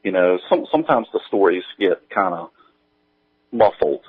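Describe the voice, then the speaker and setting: A man chatting casually as an interview guest, thinking aloud in a halting, rambling way. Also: low-pitched, expressive, breathy edge, no echo, phone-quality sound. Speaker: guest, audio drama writer and producer.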